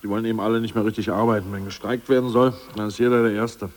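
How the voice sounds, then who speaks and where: A man's voice: fairly low pitch, level tone, rapid-fire, darkish tone, long reverb tail, lightly acted. An actor playing an ousted male politburo member who eagerly plots aloud, in a 1980s radio drama.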